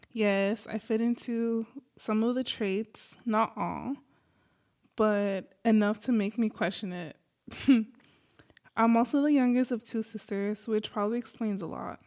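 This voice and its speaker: Higher-voiced American woman podcaster. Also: a little mellow. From Los Angeles, United States. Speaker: podcast host. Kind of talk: casual, chatty solo podcast monologue.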